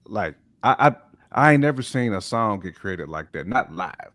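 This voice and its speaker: A man's voice: on the low side, expressive, normal speed, fairly bright tone, dry recording. A man co-hosting a podcast, chatting casually and enthusiastically about hip hop with plenty of slang. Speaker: podcast co-host.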